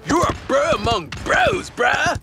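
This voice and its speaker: A cocky, laid-back surfer gunslinger for a video game. He drawls dude slang with very lively, swinging pitch and an unhurried pace. Loud music and game sounds run behind him. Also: gravelly, full character voice. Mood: cocky, hyped. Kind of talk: video game character barks.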